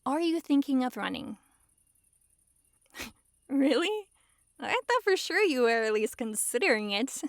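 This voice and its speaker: A woman's voice: high voice, very animated, unhurried, long pauses, no echo, clean studio sound, exaggerated voice. A woman playing a possessive, lovestruck fox-girl mage in an intimate ASMR roleplay, sweetly controlling and giggly. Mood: possessive, teasing. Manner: sweetly controlling, giggly, swings between gushing and hushed coaxing. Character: possessive, lovestruck young-sounding fox-girl mage, dominant yet giddy.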